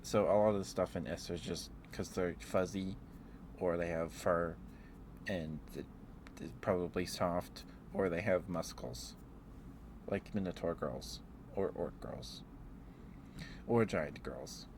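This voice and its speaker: Slow, low-voiced man rambling in ASMR style. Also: noisy background. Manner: playful, musing aloud with trailing thoughts. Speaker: ASMR livestreamer. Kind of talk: ASMR, casual rambling chat.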